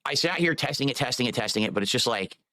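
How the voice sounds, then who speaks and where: A man's voice: medium pitch, animated, fast talker, bright, forceful tone, no room sound, clean studio sound. A man live-streaming, rambling while he fiddles with his microphone and audio settings.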